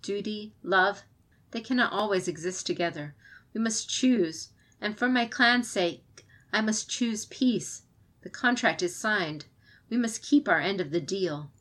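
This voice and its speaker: A woman's voice: mid-range voice, lively intonation, unhurried, a bit bright. A woman reading the lines of a proud, dutiful female clan champion in an audiobook. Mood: firm.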